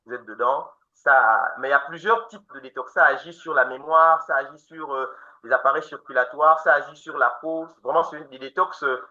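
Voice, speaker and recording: A man hosting a webinar, answering listeners' questions in a steady explaining manner and counting off his points in order. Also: medium pitch, fairly quick. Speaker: webinar host answering questions on natural detox treatments. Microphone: online video call. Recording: dull lo-fi call audio.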